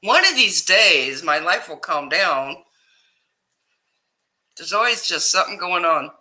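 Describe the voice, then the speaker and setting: A woman hosting a casual livestream, greeting viewers by name in a loud voice that carries, chatting and laughing easily. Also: lowish voice, big pitch swings, unhurried. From Ohio, USA. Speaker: livestream host.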